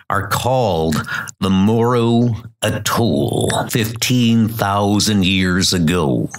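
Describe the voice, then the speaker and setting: A man narrating a comic book in a dramatic, scripted style. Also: on the low side. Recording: loud music under the voice.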